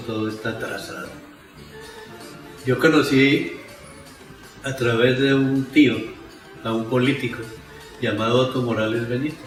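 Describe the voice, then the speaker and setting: An older Colombian man telling stories from his past in a conversational interview style. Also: mid-range voice. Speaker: interviewee, former rural radio broadcaster. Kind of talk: conversational storytelling reminiscence. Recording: very echoey, faint music behind.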